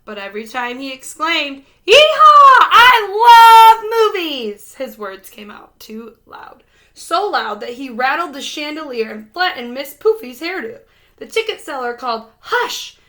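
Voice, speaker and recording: A woman narrating a children's storybook aloud in an expressive read-aloud style. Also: high-pitched, moderate pace. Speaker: storybook reader.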